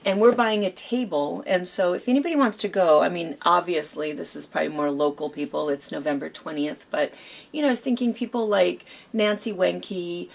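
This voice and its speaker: A hoarse woman co-hosting a quilt shop chat, rambling casually with lots of fillers. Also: fairly low pitch, a little fast. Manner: chatty, rambling with many fillers.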